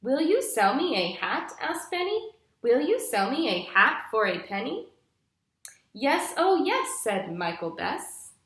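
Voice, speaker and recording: Young woman, children's read-aloud, very lively intonation, unhurried teacherly delivery, roomy indoor sound. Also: a little high. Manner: deliberate, instructive, clearly phrased storytelling. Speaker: teacher reading aloud to pupils. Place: small living room, indoors.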